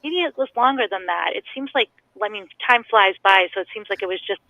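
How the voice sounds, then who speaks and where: A woman's voice: mid-range voice, brisk, no room sound, phone-quality sound. A woman from Massachusetts with a Boston accent, calling in to a live podcast and talking hesitantly with lots of ums and laughs. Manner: hesitant, many ums, giggly. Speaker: caller. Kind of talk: casual call-in chat.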